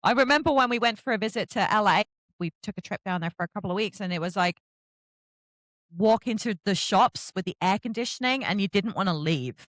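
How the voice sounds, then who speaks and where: Middle aged lady podcast host, highish voice, really lively and chatty, pokes fun at herself, dry sound. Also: fairly quick, no room sound, low-quality recording. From England. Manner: animated, self-deprecating storytelling. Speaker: podcast host interviewing an author. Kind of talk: casual chatty interview.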